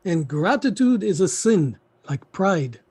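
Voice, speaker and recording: A man's voice: high voice, sing-song, takes it slowly, darkish tone, slightly rough, dry recording, exaggerated voice. A man acting in a play reading as a middle-aged uncle, pleading and repeating himself emphatically. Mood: indignant. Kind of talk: theatrical stage play reading.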